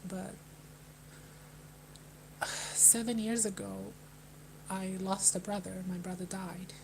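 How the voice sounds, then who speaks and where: Young woman, from Brazil, hesitant, flat monotone, hum and noise behind. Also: medium pitch, creaky. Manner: hesitant, halting, with many restarts and sighs. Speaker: livestreamer. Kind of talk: personal talk to a live chat. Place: Melbourne, indoors.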